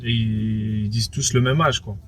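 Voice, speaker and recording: A man's voice: fairly low pitch, fairly even intonation, measured pace, fairly bright tone, soft background music. A young French man of Moroccan background, giving a casual personal testimony. He hesitates a lot, repeats words and leans on fillers. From France, of Moroccan background. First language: Arabic speaker as well. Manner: hesitant, repeats words, many fillers like "entre guillemets" and "quoi". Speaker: interviewee.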